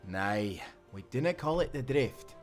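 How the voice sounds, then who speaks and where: A man's voice: medium pitch, expressive, measured pace, faint music behind, natural voice. Man playing earnest timberman sailor. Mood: gentle, reassuring. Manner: earnest, old-time seafaring speech. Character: kind, principled male timberman sailor of the 1790s. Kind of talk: cinematic audio roleplay.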